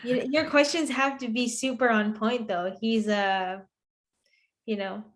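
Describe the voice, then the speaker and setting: Slightly high-voiced woman in casual chat. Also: steady tone, a little slow. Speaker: podcast co-host. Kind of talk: casual unscripted podcast chat.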